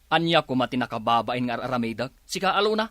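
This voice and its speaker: A man's voice: medium pitch, lively intonation, fast, a bit bright, no room sound, clean studio sound, lightly acted. A man playing a stubborn, self-righteous husband in a radio drama, argumentative and boastful. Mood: defensive, irritated.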